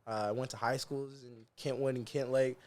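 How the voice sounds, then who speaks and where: A man's voice: medium pitch, level tone, normal speed, darkish tone. Laid-back young man, slangy talk. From Seattle area, US. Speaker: guest musician. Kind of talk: casual interview chat.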